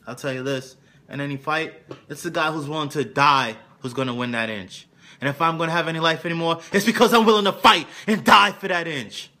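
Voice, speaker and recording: A man playing a weary middle-aged football coach giving an intense, dramatic film monologue to his team. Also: a little high. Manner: intense, swings from quiet confessing to shouted exhortation. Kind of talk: dramatic film monologue, locker-room speech.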